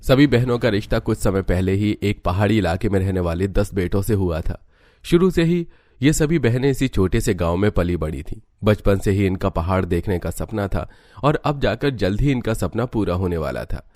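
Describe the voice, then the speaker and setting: A man's voice: lowish voice, expressive, normal speed, a little mellow, no room sound, clean studio sound. A man narrating a scripted cartoon story like a dramatic storyteller.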